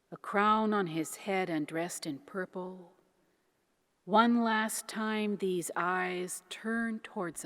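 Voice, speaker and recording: Slow, solemn woman in a reverberant hall. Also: lowish voice. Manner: deliberate, grave, declamatory with pauses. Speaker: reader of devotional poetry, minister. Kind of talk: solemn dramatic poetry reading. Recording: long church-like reverberant hall echo.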